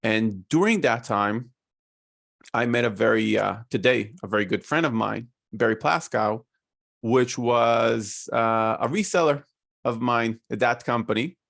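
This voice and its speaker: A man in his forties from Israel with an Israeli accent, a guest on a video call explaining his business in a measured way with fillers. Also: mid-range voice, vocal fry, a bit bright, no room sound, studio quality. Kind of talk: casual interview talk.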